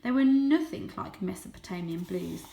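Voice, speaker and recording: A woman reading a children's book as dramatic narration, lingering on key words and hushing for suspense. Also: mid-range voice, expressive, normal speed, creaky. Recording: roomy, echoey sound.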